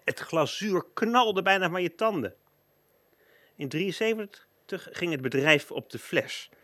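A man's voice: fairly high pitch, a bit bright, no room sound. A man narrating a scripted documentary-style radio play, presenting background facts as a storyteller.

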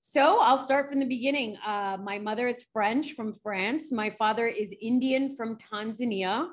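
Lively, laughing woman psychologist. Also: fairly high pitch, moderate pace. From New York. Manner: animated, laughs often, candid. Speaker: forensic psychologist guest. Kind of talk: casual interview chat.

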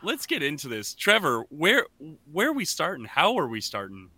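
A man co-hosting a podcast in casual chat, often halting and restarting his thoughts. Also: a little high, huge pitch swings, pushed and bright, no room sound. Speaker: podcast co-host.

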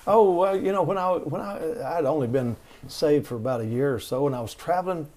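A middle-aged man tells stories in a folksy, rambling way. He restarts his sentences often as he goes. His voice sits a little on the high side. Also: a little fast, a little mellow. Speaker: guest. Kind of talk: storytelling conversation.

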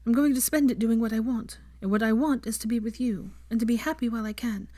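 A woman voicing an eager, bookish young woman who talks brightly, earnestly and a little breathlessly. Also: on the high side, fast, no room sound, studio quality, voice pushed up, lightly acted.

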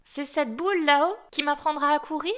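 A woman's voice: high voice, fairly quick, fairly bright tone, pitched above the performer's own voice. A woman voicing a naive, newly built male snowman who asks innocent questions. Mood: musing.

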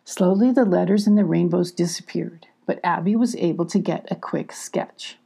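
A woman's voice: lowish voice, moderate pace, vocal fry, dark tone, husky. An older woman narrating a children's story expressively as a storybook reader.

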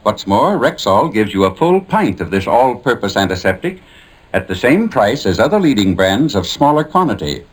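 A man's voice: lowish voice, big pitch swings, moderate pace, rough recording. A man reading a 1950s radio commercial with polished, emphatic salesmanship. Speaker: commercial announcer. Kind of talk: 1950s radio advertising read.

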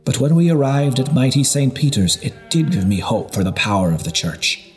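A man reading a historical novel as scripted narration, in a measured storytelling delivery. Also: mid-range voice, very animated, a little mellow, soft background music. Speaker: audiobook narrator.

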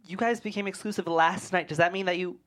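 A woman plays a sassy sitcom best friend with a slightly low voice. The delivery is slangy and incredulous, full of teasing. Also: fast talker, natural voice. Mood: teasing, nosy. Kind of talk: sitcom table read acting.

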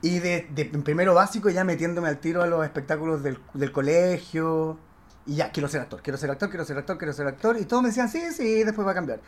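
A man with a Chilean accent talks casually about himself as a guest. His delivery is expressive and full of slang. He often repeats a word or phrase to stress it. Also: on the high side, quick pace. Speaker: actor, podcast guest. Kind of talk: casual chat, personal anecdotes.

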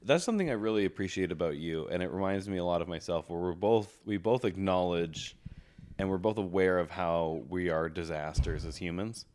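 Deep-voiced man in his thirties. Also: a little fast, a little mellow. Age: 30s. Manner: rambling, many restarts and fillers. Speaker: podcast co-host. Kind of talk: casual chat.

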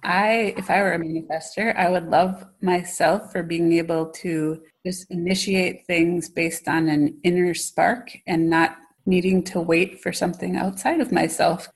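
A woman's voice: on the low side, fairly even intonation, a little slow, few pauses, breathy edge. A woman in a casual chat, speaking in an upbeat, affirming way.

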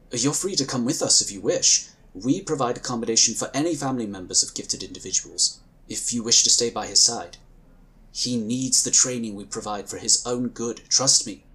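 A man playing a composed, dutiful psychic agent in a sci-fi audio drama, speaking in a formal, earnest, measured way. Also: medium pitch, bright, forceful tone, lightly acted, low-quality recording. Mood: calm, insistent.

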